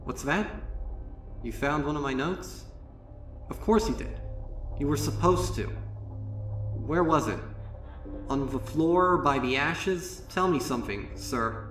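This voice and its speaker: Man as traumatized female unicorn student, raised pitch, stammering, defiant, indignant, horror monologue, roomy distant recording. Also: lively intonation, takes it slowly, long pauses, a bit bright. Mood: bitter, accusing. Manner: stammering, pleading, bitterly indignant outbursts. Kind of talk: dramatic first-person horror monologue. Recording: roomy, distant voice over music.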